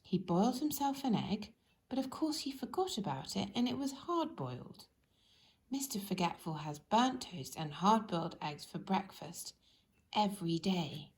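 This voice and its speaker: A woman's voice: medium pitch, big pitch swings, moderate pace, darkish tone. A woman storyteller narrating a children's storybook.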